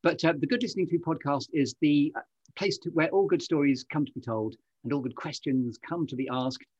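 Effusive male podcast host, slightly husky. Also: fairly high pitch, brisk, darkish tone, no echo, muffled recording. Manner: effusive, wordy, meandering asides. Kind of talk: chatty, effusive podcast interview.

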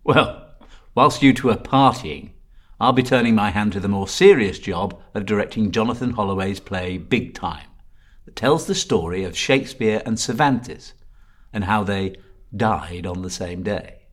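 A man's voice: lowish voice, measured pace. A man co-hosting a podcast, delivering scripted trailer narration. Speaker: podcast host and drama director.

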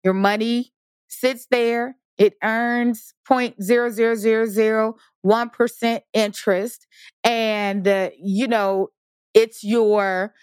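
A woman's voice: on the high side, steady tone, takes it slowly, clear, resonant tone, no echo. A middle-aged woman hosting a motivational podcast, talking emphatically and asking her listeners rhetorical questions. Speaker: podcast host.

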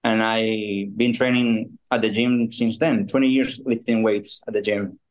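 A man in his thirties from Ecuador, a guest on a podcast who speaks with frequent pauses and restarts. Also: lowish voice, steady tone. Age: 30s. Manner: halting, with fillers and restarts. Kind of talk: casual interview.

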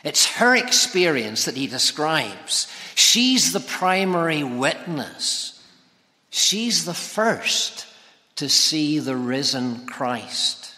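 A man delivers a sermon in a bright, forceful voice pitched a little high. He phrases things deliberately and with emphasis, and his words ring with the long reverb of a hall. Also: big pitch swings. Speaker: preacher. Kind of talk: expository sermon. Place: large reverberant church or hall.

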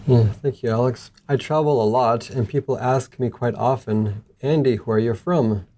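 A middle-aged man speaks as a guest in an interview, telling his own story conversationally. His voice is mellow and relaxed, with a marked gravelly fry at a medium pitch. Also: moderate pace. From southern Africa and Southeast Asia. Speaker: interview guest, missions journalist. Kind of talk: conversational personal account in an interview.

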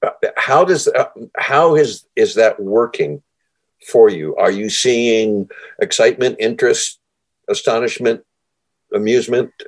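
A man's voice: mid-range voice, unhurried, long pauses. A man hosting an interview. He is enthusiastic and rambles, with many restarts along the way. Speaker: interview host. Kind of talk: conversational interview.

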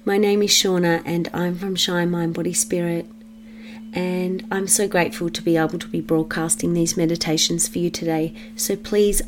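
A woman leading a guided meditation for children in a gentle, measured manner with long pauses. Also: lowish voice.

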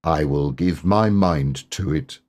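A man voicing a gloomy, self-pitying old male donkey from a storybook, mournful and deliberate. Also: extremely low pitch, a little mellow, pitched below the performer's own voice, full character voice. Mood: glum, resigned.

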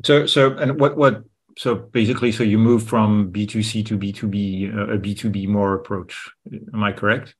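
A man's voice: fairly low pitch, steady tone, normal speed, husky. A man hosting a podcast interview, often repeating words as he frames his questions. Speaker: podcast host.

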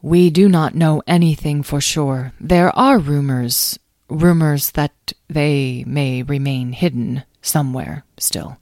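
A woman voicing a grave, slow-speaking storybook elder with a low, rumbling voice. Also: darkish tone, no echo, clean studio sound. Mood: solemn.

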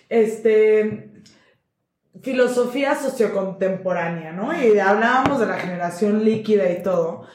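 A woman's voice: medium pitch, a little mellow. A woman in her twenties co-hosting a podcast, joking and laughing as she talks. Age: 20s. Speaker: podcast co-host. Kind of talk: casual podcast chat. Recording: roomy echo, faint music.

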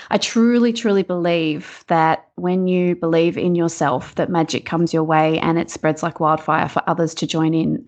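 A woman in her forties with an Australian accent, a guest author talking earnestly and upbeat about her life in a casual interview. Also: on the low side.

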